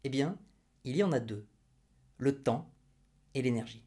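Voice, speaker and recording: A man's voice: medium pitch, animated, measured pace, warm tone. A man hosting his own video, explaining money with ironic jabs and rhetorical questions put straight to the viewer. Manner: ironic and mocking, then patiently didactic. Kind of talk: opinion explainer to camera.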